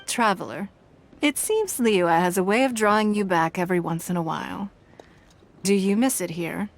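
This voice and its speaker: A woman's voice: medium pitch, moderate pace, slight background noise, natural voice. An actress playing a poised, elegant female leader in a video game, formal and composed.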